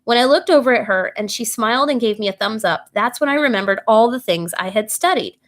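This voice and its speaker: A woman with a fairly high-pitched voice reads a bedtime story for children. Her delivery is expressive and animated, and she speaks directly to her young listeners, asking them questions as she goes. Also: a little fast.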